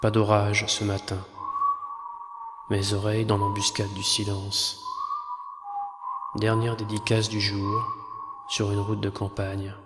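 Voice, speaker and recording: Slow, low-voiced man reciting poetry. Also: monotone. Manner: solemn, measured, pausing between phrases. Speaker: poet narrator. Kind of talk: poetry recitation over a film. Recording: long reverb, clear background noise.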